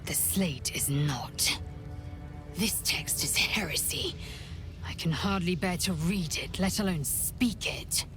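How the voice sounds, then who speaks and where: A woman's voice: on the low side, takes it slowly, in a whisper, over music. A woman playing a fierce, proud, zealous alien warrior in a video game, speaking in an imperious, clipped and solemn way. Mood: tense, reluctant. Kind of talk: dramatic video game acting, reciting a legend.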